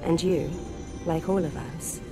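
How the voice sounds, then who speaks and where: An actress playing a mysterious, all-knowing goddess figure in a game cutscene, speaking in a measured, solemn, prophetic way. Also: female voice, lowish voice, steady tone, over music, natural voice, rough recording. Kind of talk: video game cutscene acting.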